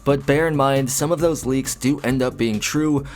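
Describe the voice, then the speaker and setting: A man in mid-pitch range gives casual commentary on gaming news. He talks earnestly and circles back over his points. He also reads leaked text aloud in the same plain voice. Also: moderate pace. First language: English. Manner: earnest, rambling and repetitive, reads out leak text. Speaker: gaming news channel host.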